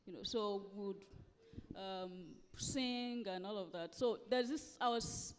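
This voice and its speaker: Woman, Nigerian accent, guest author, emphatic, conversational live interview, medium pitch, long hall reverb. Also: slow pace, slight background noise. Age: late thirties to forties. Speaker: guest author at a book festival. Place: live festival event hall.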